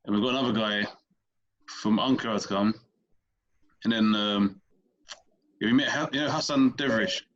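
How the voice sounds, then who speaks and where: A man's voice: on the low side, steady tone, normal speed, long pauses, fairly bright tone, lo-fi sound. A man, a comedian guest on a podcast, chatting casually and rambling, repeating words as he explains. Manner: rambling, repeats words, talks in loose stories.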